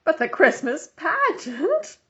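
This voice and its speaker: A woman's voice: very high-pitched, very animated, slow pace, bright, forceful tone, voice pushed up, exaggerated voice. Woman reading as dry, exasperated storybook mother. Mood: put-upon. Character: exasperated practical mother of a family. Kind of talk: children's storybook read-aloud. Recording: dull lo-fi home recording.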